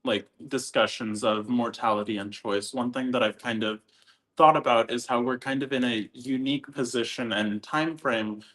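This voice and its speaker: A man's voice: medium pitch, steady tone, moderate pace, a bit bright, poor audio. A man speaking casually and thoughtfully in a group discussion, tentatively rephrasing as he goes.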